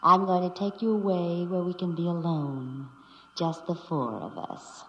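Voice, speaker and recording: A woman playing a tender, possessive robot ship computer in love, speaking slowly and coaxingly in a 1950s radio drama. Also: on the low side, steady tone, a bit bright, muffled recording. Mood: loving, resolute. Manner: slow, romantic, coaxing. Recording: reverberant, noisy old radio recording.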